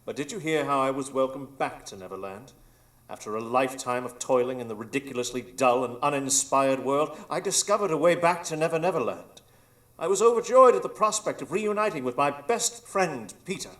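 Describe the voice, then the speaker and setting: A man's voice: medium pitch, brisk, fairly bright tone, close to an everyday voice. A man as a grandiose, scheming old pirate villain. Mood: smug, sinister, then wounded, aggrieved. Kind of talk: live radio drama acting.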